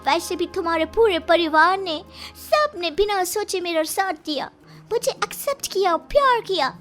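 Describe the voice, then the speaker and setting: Very high, bright young bride voiced by a woman. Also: animated, normal speed, faint music behind. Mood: upset, protective. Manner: earnest, melodramatic. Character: earnest, devoted young Chinese daughter-in-law, cartoon heroine.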